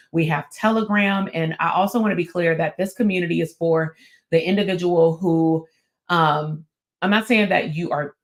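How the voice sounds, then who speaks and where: A woman with a raspy, strong voice, talking casually to camera as a therapist and business coach, with frequent fillers and restarts. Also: deep voice. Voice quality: raspy and strong, not soft. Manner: conversational, with many fillers and restarts. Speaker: therapist and business coach hosting her channel. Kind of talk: casual teaching talk to camera.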